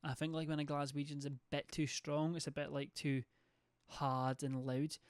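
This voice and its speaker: Sweary young streamer. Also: male voice, a little high, level tone, normal speed, clean tone, no room sound, studio quality. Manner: loose, sweary, rambling. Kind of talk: casual chat reading viewer messages.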